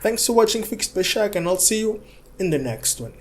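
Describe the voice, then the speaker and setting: A man's voice: sits high, animated, fairly quick, a little hiss or hum. A man hosting a tech tutorial, narrating steps casually in an instructional, reassuring way and often checking in with a short tag.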